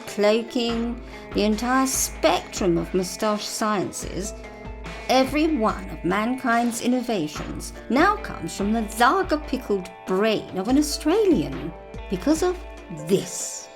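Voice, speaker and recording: A mysterious, secretive woman, voiced by a woman speaks in a cool, knowing, measured manner. Her voice has a gravelly edge and moves animatedly up and down. Soft music plays behind her. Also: a little high, very animated, exaggerated voice.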